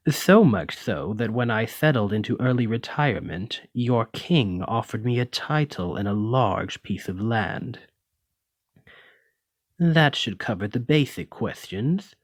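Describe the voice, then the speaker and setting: A man's voice: medium pitch, darkish tone, no echo. A man playing a haughty, menacing lamia noble in an ASMR roleplay, speaking with condescending, theatrical, aristocratic deliberation. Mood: proud, explanatory. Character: haughty, menacing male lamia noble, wealthy retired merchant. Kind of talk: ASMR roleplay addressed to the listener.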